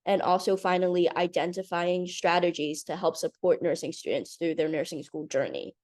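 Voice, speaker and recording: A woman's voice: fairly low pitch, moderate pace, darkish tone. A young woman in her twenties from Hong Kong, a new nursing graduate giving a slide presentation with many ums. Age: 20s. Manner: earnest, nervous, with frequent ums. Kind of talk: presentation over video call.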